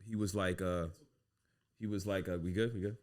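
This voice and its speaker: A man, a guest on a podcast, telling stories from his life in a relaxed, casual way with lots of "you know what I'm saying". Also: sits low, moderate pace. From United States. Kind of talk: casual storytelling interview.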